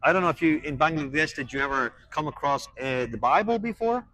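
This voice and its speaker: Earnest street preacher. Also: male voice, on the high side, lively intonation, brisk, pushed and bright. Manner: earnest, pressing with questions. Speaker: street evangelist. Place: outdoors on a town street.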